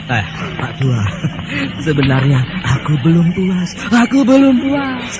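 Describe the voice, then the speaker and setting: A man's voice: on the high side, big pitch swings, measured pace. A man playing a drunk young nobleman in an audio drama, slurring, rambling and boasting. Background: loud background music.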